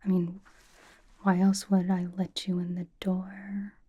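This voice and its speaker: Casting: woman playing cocky young female pop idol for ASMR roleplay, mellow breathy whisper, deadpan and teasing. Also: medium pitch. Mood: smug, teasing. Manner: slow, teasing, halting delivery with dramatic emphasis.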